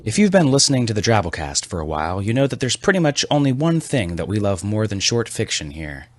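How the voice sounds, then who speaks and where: A man's voice: fairly low pitch, sing-song, quick pace, slight background noise. A man hosting a scripted comedy podcast with a wry, mock-serious delivery. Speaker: podcast host.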